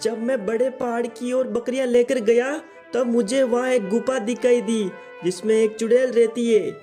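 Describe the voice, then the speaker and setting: An actor voicing an earnest, excitable poor village boy in a cartoon. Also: medium pitch, moderate pace, faint music behind, natural voice. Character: poor young village boy who herds goats. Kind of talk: cartoon voice acting.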